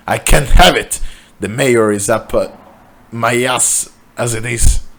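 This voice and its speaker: A man voicing an exasperated, profane police chief in a comic story, gruff and stern with an officer. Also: fairly low pitch.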